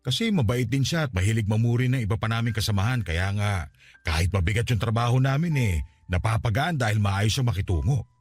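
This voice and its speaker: A man's voice: on the low side, lively intonation, fairly quick, husky. An actor playing an ailing middle-aged Filipino father and former seaman, tender and wounded, speaking earnestly in a radio drama. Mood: fond, reflective. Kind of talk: Tagalog radio drama acting.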